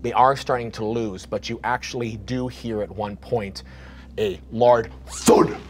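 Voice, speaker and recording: A man's voice: medium pitch, fairly even intonation, a little slow, a little hiss or hum. A man in his thirties narrating a tabletop horror game as game master, rambling and vivid, recorded on a studio set. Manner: descriptive, rambling, many restarts.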